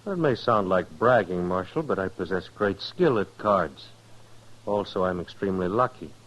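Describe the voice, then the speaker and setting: An actor playing a proud, polished gentleman gambler in a 1950s radio western, speaking formally and precisely. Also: deep voice, expressive, normal speed, low-quality recording. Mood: self-assured. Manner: formal, precise, touchy about honor.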